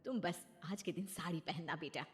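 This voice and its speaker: A woman voicing a kind, understanding middle-aged mother-in-law who speaks gently and reassuringly. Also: on the low side, moderate pace, big-room sound, lightly acted. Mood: gentle.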